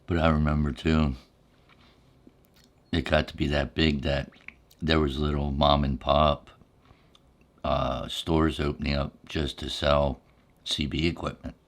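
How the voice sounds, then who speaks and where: A middle-aged or older American man with a deep, low voice, reminiscing casually in a laid-back, rambling ASMR storytelling style with frequent pauses. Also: little pitch movement.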